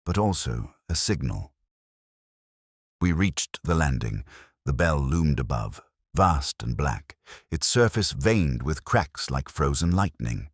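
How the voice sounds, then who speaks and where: Super deep guy reading an old detective mystery, measured delivery, lively pitch, dry close sound. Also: no room sound. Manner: measured, literary storytelling in the first person. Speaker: audiobook narrator. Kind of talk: scripted narration of a Victorian detective mystery.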